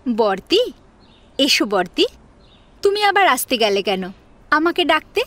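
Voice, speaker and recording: Woman playing an earnest high-voiced young college girl. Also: big pitch swings, unhurried, fairly bright tone, full character voice. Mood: warm, respectful. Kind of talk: Bengali audio drama acting. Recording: music under the voice. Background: sitar music.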